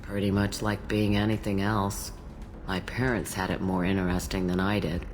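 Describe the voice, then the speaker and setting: An actress playing an ancient, blunt alien matriarch tending bar in a video game, with a gruff, wry manner. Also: female voice, extremely low pitch, level tone, normal speed, noisy background. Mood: dry, casual. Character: jaded, blunt thousand-year-old alien matriarch bartender. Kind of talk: video game character dialogue.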